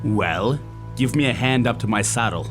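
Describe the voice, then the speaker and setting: A man's voice: lowish voice, lively intonation, normal speed, close to an everyday voice. Man playing a cruel, domineering young master over music. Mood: bullying. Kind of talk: audio drama acting.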